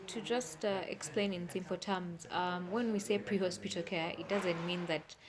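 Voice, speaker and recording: A woman with a fairly low voice explains things as an expert guest in an interview. She goes step by step in simple terms and checks that she is followed. There is noticeable noise behind her. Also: fairly even intonation, moderate pace.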